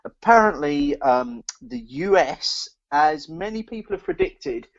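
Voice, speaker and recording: A man's voice: fairly high pitch, expressive, unhurried. Opinionated British man, slightly muffled recording. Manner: opinionated, emphatic. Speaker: podcast co-host. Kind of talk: casual podcast chat about beer.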